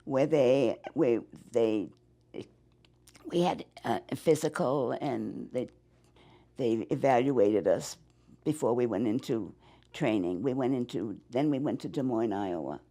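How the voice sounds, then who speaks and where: A woman in her nineties with a New England accent, recalling her past in an interview, halting and rambling with many restarts. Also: rumbling low, warm tone. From Massachusetts. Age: 90s. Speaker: interviewee, war veteran. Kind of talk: oral history interview.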